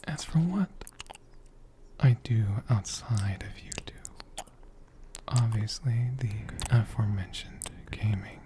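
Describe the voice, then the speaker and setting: Man whispering as a slow, gentle ancient dragon. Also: mid-range voice, animated. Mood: soft, soothing. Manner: slow, lingering, repeats words softly. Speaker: ASMR host answering viewer questions. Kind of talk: soft-spoken whispered ASMR. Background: computer keyboard typing.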